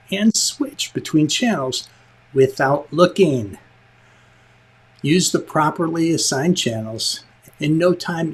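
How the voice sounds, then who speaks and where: A man with a slightly husky voice presenting a lesson as host, conversational and emphatic, with joking asides. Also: mid-range voice. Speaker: instructor and show host, film director.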